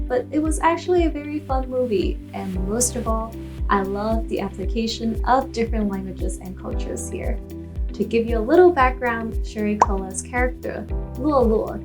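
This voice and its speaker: A woman who grew up speaking Taishanese, hosting a language lesson where she repeats phrases slowly and translates them. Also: on the high side. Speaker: language teacher and host. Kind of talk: language lesson with film clips.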